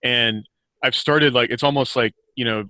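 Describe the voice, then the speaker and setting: A man's voice: medium pitch, steady tone, normal speed, bright, forceful tone, no room sound. An American man chatting casually and telling anecdotes as a podcast guest, informal and often chuckling as he talks.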